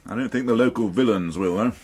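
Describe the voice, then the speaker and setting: An actor playing a gloomy, middle-aged, dry-witted police inspector in a naturalistic radio drama. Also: male voice, lowish voice, fast talker, a little mellow, slight background noise, natural voice. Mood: grumbling, wry. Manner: grumbling, understated, dryly sardonic.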